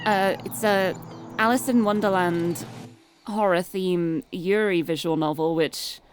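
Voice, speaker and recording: A woman's voice: fairly low pitch, steady tone, a little slow, a little hiss or hum. A woman from the UK with a British accent, live streaming a game, chatting and reading aloud. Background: music.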